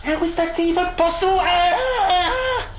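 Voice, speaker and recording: A woman's voice: very high-pitched, slow, reverberant, a little hiss or hum. A British woman playing a chatty, flustered young receptionist in a radio play, polite and hesitant. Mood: panicked. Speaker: actor.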